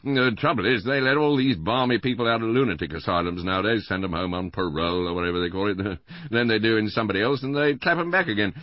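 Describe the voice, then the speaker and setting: A man voicing a gossipy middle-aged British husband in an audiobook, stammering, rambling and excitable. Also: fairly low pitch, quick pace, dry recording, pitched above the performer's own voice, natural voice. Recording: slightly dull, lo-fi dry recording.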